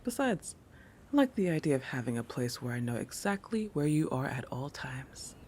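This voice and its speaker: A woman playing a playful, possessive, territorial shark girl in an intimate roleplay audio, teasing and self-assured, with breathy asides that drop into whispers. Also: very deep voice, very animated, normal speed, a little mellow, slight background noise.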